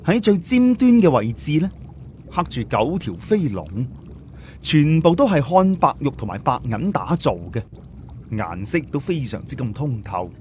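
A man's voice: fairly high pitch, sing-song, measured pace, darkish tone, slight background noise. A man with a Cantonese accent narrating an audiobook story in a dramatic, scripted storytelling style.

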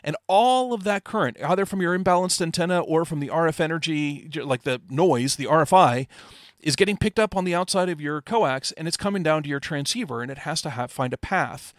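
A man's voice: a little high, animated, fairly quick, no room sound, studio quality. A middle-aged man presenting a technical lecture in an informal, explanatory way, asking rhetorical questions.

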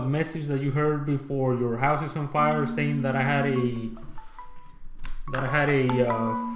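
A man presenting a casual tech tutorial in an informal, halting way. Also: medium pitch. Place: indoor room. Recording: echoey room.

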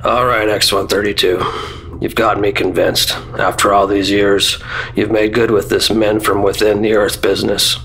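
An actor playing a brusque, authoritative general with clipped delivery in a radio drama. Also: male voice, fairly low pitch, level tone, normal speed, a bit bright, breathy edge, low-quality recording. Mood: brisk, matter-of-fact. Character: gruff, brusque commanding general, male, middle-aged or older. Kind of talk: old-time radio drama acting.

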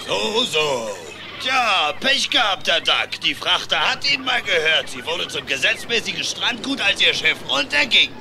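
A gruff cartoon salvage crook, sneers in a gravelly, high, swinging voice. He sounds mocking and bossy, and music plays underneath. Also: big pitch swings, a little slow, exaggerated voice. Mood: mocking, smug. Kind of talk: German cartoon audio play acting. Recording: music behind the voice.

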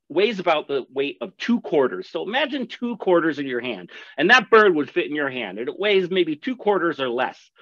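A man's voice: on the high side, a bit bright, no room sound. A young man teaching an online birding class over slides, chatty and enthusiastic, with rhetorical questions. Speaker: birding instructor. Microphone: webcam video call. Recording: dull lo-fi video call audio.

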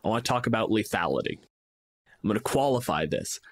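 A man's voice: fairly low pitch, lively intonation, brisk, no room sound. Canadian man in dry, close sound. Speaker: podcast co-host and game master. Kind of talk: casual podcast discussion.

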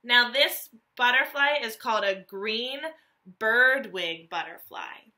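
A woman, reading a nonfiction book aloud to young children as a teacher, animated and carefully enunciated. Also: fairly high pitch, slow pace. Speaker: elementary school teacher.

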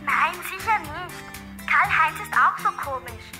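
A woman's voice: extremely high pitch, expressive, slow, over music, heavily acted. A woman playing an anxious, earnest young girl in a children's mystery audio drama. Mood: worried.

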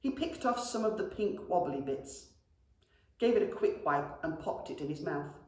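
Animated woman storyteller in an echoey room. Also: on the low side, moderate pace. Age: middle-aged. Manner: animated, affectionate address to children. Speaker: schoolteacher reading to pupils. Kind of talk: expressive children's storytelling. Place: indoor school classroom. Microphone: camera a few metres away. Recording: very echoey classroom.

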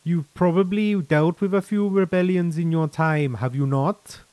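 A man's voice: fairly high pitch, a little slow, darkish tone, no echo, voice pushed up, close to an everyday voice. A man voicing a chatty, frank, easygoing veteran soldier in a sci-fi story, with colloquial, mildly profane, persuasive talk. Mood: probing.

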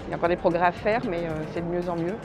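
A woman in her fifties, talking through a face mask, answering softly and haltingly in an outdoor market interview. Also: on the low side, steady tone, music in the background. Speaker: resident interviewed.

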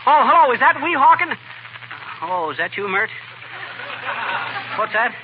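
An American actor playing a folksy, excitable middle-aged husband full of schemes in a 1940s radio comedy. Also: male voice, very high voice, measured pace, narrow, tinny audio, full character voice. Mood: expectant, then let down. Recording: band-limited, hissy 1940 radio broadcast.

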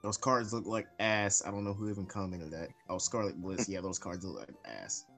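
Low-voiced, profane man bantering. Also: normal speed, fairly bright tone. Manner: blunt, profane, teasing. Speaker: voice chat guest. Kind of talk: casual banter. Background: music.

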